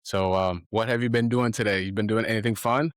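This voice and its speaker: A man with a very low voice hosts a podcast interview, recorded close and dry. He speaks earnestly, halting often and restarting his sentences. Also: fairly even intonation, no echo. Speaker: podcast host. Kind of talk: casual interview hosting.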